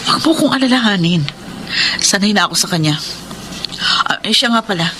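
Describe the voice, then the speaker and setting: Female, actress playing long-suffering mother, defensive, animated swoops; radio drama, noisy recording. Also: medium pitch, fairly quick, natural voice. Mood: resigned. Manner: exasperated, defensive. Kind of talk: Filipino radio drama acting.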